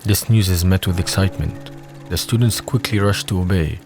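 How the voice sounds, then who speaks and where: A man's voice: low-pitched, moderate pace, over music. A man reading scripted third-person narration for an audio drama.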